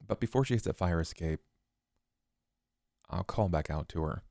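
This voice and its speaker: A man's voice: sits low, normal speed, warm tone, no echo, clean studio sound. A man talking as a player in a tabletop roleplaying game, stating his character's actions.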